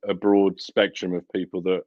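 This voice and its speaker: A man's voice: low voice, level tone, moderate pace, a little mellow, no echo. A man co-hosting a live football watchalong, chatting casually with wry joking asides. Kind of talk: casual live-stream chat. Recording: dull lo-fi stream audio.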